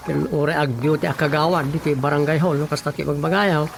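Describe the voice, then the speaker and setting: A man's voice: on the high side, steady tone, a little fast, creaky, background noise. A man acting in an Ilocano radio comedy drama as a skeptical, teasing village official. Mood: teasing disbelief. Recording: music playing behind the voice. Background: prominent background music.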